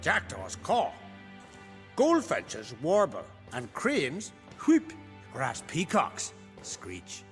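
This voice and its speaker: The slow, bright-voiced, simple guard heard earnestly singsonging his lessons on night watch in a fantasy game. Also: fairly high pitch, wildly swooping pitch, faint music behind, exaggerated voice. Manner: singsong, careful recitation. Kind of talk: video game acting.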